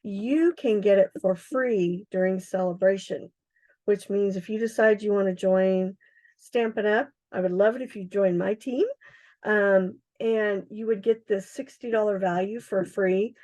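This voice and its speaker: A middle-aged woman with a hoarse, froggy voice, chatting casually as she demonstrates craft supplies on a livestream, with many ums and uhs. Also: mid-range voice, fairly even intonation, a little slow, warm tone. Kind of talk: casual live product demonstration.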